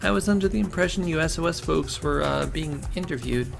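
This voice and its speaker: A man voicing a bubbly, eager young woman reporter in a game, high and chirpy. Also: brisk, creaky, over music. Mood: hesitant.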